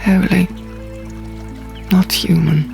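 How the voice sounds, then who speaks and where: A woman's voice: fairly low pitch, fairly even intonation, slow, vocal fry, a little mellow. A woman reading a dramatic first-person fantasy audiobook as narrator. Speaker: audiobook reader. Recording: music behind the voice.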